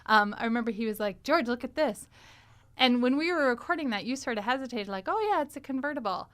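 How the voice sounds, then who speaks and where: A woman hosting a podcast in casual, animated conversation. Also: fairly high pitch, fast. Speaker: podcast host.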